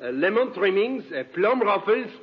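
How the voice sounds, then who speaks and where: A man's voice: sits high, very animated, normal speed. An actor playing an affected, fussy male fashion designer in a 1940s radio comedy. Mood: fussy, explaining. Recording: dull, noisy 1940s radio broadcast.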